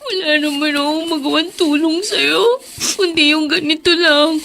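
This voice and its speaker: Tender, high-pitched creaky-voiced young friend. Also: female voice, normal speed, heavily acted. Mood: sad, consoling. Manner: gentle, consoling. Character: sympathetic young woman, tearful close friend of a troubled girl. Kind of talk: Filipino radio drama acting.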